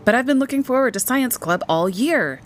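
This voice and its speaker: A woman puts on a stubborn boy of ten from a storybook. He sounds worried and persistent, voiced a bit higher, with faint noise behind. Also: lively intonation, brisk. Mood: upset pleading. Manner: protesting, persistent. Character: stubborn, worried ten-year-old boy who loves science. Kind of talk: storybook character voice.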